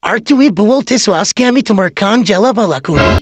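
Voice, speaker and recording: A woman's voice: medium pitch, animated, quick pace, close to an everyday voice. A woman voicing a whiny, aggrieved old grandfather in a comic cartoon, indignant and pleading with melodrama. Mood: hurt, indignant. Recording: noisy amateur cartoon dub with clicks.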